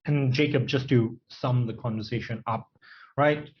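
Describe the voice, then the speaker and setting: A man hosts a webinar panel in a casual, conversational way, framing his questions from a client's side. His voice is mid-pitched. It comes through a slightly dull video-call line. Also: fairly even intonation, moderate pace, slight background noise. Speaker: webinar moderator. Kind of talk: moderating a panel.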